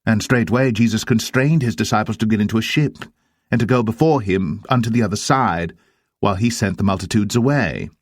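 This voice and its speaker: A man narrating dramatised scripture in a solemn, measured manner. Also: on the low side, dry recording, clean studio sound.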